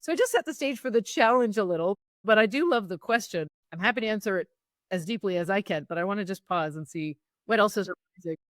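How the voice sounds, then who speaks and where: A woman's voice: medium pitch, expressive, fast, no room sound, studio quality. A woman guest on an interview podcast, a sustainability consultant explaining her ideas step by step in a reflective, conversational way.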